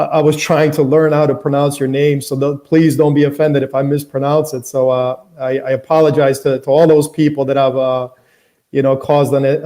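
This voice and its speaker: A man's voice: mid-range voice, mellow. A man from Croatia, a guest in a casual interview, speaking earnestly with many restarts and fillers.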